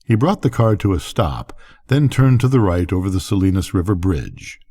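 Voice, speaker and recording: A man's voice: deep voice, lively intonation, normal speed, warm tone, breathy edge. An older man reading a bedtime story aloud as its narrator.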